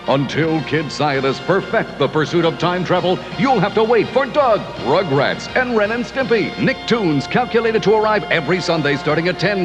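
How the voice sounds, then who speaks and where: An American man reading a mock news-flash TV promo in a punchy, playful announcer style. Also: fairly high pitch.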